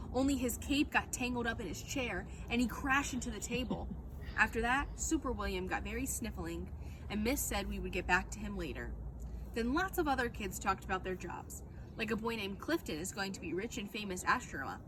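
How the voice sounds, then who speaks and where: A woman reading a children's book aloud as a storyteller, with expressive, dramatic narration. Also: on the high side, noisy background.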